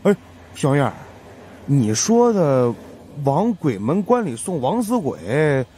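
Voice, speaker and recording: A man doing a high, nosy fat investigator. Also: sing-song, slow pace, dark tone, a little hiss or hum. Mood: curious, nagging.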